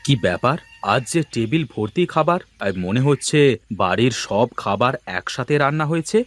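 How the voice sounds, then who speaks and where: A man voicing a cheerful, teasing husband in a cartoon family drama, expressive and jovial. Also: mid-range voice, normal speed, lightly acted. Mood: appreciative. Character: cheerful, teasing Bengali husband who loves good food.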